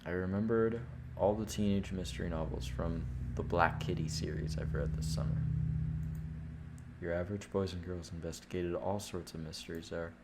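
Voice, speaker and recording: A man's voice: deep voice, steady tone, normal speed, very noisy. A man livestreaming a game, reading visual novel text aloud and dropping in casual, sweary reactions. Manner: casual, profane asides. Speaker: game streamer. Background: game music with guitar.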